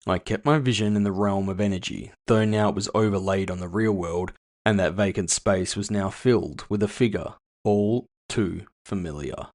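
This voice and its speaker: A man's voice: lowish voice, moderate pace, darkish tone. A man narrating scripted first-person fiction as the author of the story.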